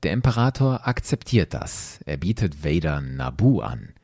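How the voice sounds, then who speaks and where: Male podcast storyteller, very low voice, expressive dramatic narration, close dry sound. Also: moderate pace, vocal fry, dry recording. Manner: expressive, addresses listeners directly. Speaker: host and storyteller.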